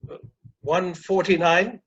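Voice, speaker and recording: A man playing a polite, flustered gentleman suitor in a comic play reading, hesitant, formal and apologetic. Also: high-pitched, fairly even intonation, slow pace, husky, highs cut off, heavily acted. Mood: polite, uneasy.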